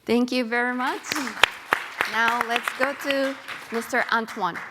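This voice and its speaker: A woman's voice: fairly high pitch, fairly even intonation, unhurried, over a noisy crowd, heavy background noise. Polite, hesitant woman moderator. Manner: hesitant, polite, with many fillers. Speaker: panel moderator. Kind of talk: moderating a panel. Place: conference hall. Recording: long hall reverb.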